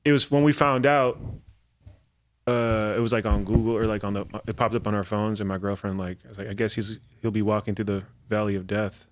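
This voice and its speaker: A man's voice: lowish voice, steady tone, a little fast. A man who is a guest comedian, chatting casually on a podcast and telling loose, rambling stories full of fillers.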